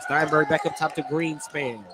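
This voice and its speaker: A man with a slightly high voice gives live basketball commentary for radio. He describes the play in short, clipped running calls. Loud gym noise and bouncing balls sit behind him. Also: fairly even intonation, normal speed. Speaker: play-by-play announcer. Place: indoor school basketball gym.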